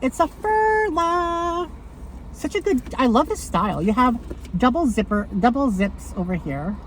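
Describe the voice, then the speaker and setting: A woman talking casually to camera about her thrift finds, rambling with lots of fillers and a loud voice. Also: sits high, animated, unhurried, slight background noise. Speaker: thrift haul vlogger.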